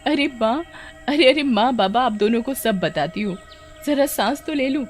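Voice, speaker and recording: A woman voicing a sensitive, naive village girl with plaintive, expressive delivery in an animated story. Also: a little high, normal speed, faint music behind, pitched above the performer's own voice, natural voice. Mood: excited.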